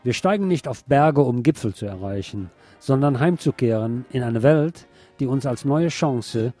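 Man hosting over clearly audible music. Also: medium pitch, expressive, normal speed, dark tone. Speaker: radio host. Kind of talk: reading quotations and commenting between music.

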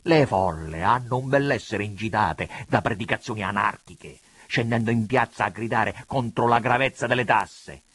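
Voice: mid-range voice, normal speed, few pauses, fairly bright tone, slightly rough, no room sound. A reader voicing a proud, hot-tempered, embittered old prince in a commanding, declamatory audiobook style. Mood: indignant. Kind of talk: dramatic audiobook character reading.

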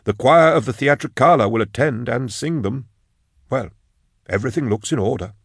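A man voicing a slender, formal undertaker in an audiobook, measured, deferential and mournful. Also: mid-range voice, vocal fry, slightly rough, no echo, clean studio sound, voice pushed up. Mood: solemn, deferential.

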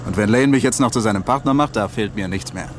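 An actor playing an affable, successful lawyer and husband in a 1960s German radio play. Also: male voice, on the low side, fast talker, noisy background, lightly acted.

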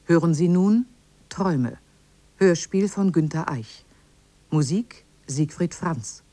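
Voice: mid-range voice, animated, takes it slowly, mellow, no echo. A radio announcer reading a formal scripted introduction.

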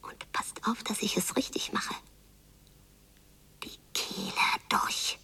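An actress playing a wounded, secretive young daughter who longs and seethes in a radio drama, often hushed and drawing out her phrases. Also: slow pace, in a whisper. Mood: secretive, vengeful. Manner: hushed, echoing repeated phrases, drawn out. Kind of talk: literary radio drama, whispered inner monologue.

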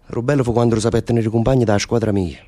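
A man's voice: mid-range voice, fairly even intonation, quick pace, dark tone, natural voice. A man playing a lovesick, restless prisoner in a radio play, telling rambling, impassioned stories in Neapolitan dialect. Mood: amused reminiscing. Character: lovesick, restless male prisoner, volatile storyteller. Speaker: stage actor. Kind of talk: radio theatre dialogue in dialect.

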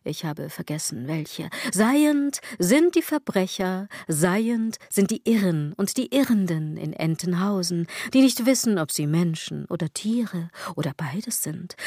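A woman's voice: on the low side, lively intonation, a little slow, hardly any pauses, warm tone, no echo, clean studio sound. An actress playing a sardonic, rambling woman in a literary radio drama monologue, ironic and insistent, often correcting herself. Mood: insistent, resigned. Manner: ironic, self-correcting, insistent rhetorical delivery. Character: sardonic, rambling woman brooding on fashion and clothes.

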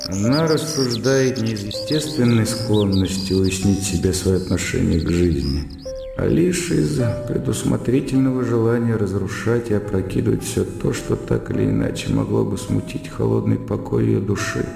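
An educated young gentleman brooding over his own thoughts in a radio play. His low, gravelly voice reasons in bookish, self-questioning phrases. It rings in a long hall reverb with music underneath. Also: moderate pace. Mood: cool, judging. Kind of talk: radio drama acting, interior monologue.

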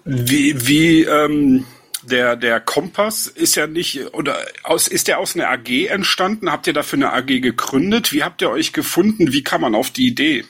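Male podcast host, bright and husky, easygoing chat with fillers. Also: on the high side, normal speed. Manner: relaxed, chatty, with fillers. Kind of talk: casual chat.

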